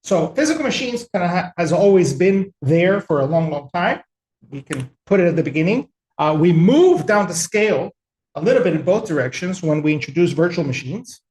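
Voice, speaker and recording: A man from El Salvador giving a relaxed, conversational technical webinar with frequent fillers. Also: sits high, fairly quick, echoey room, a little hiss or hum, low-quality recording. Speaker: webinar presenter.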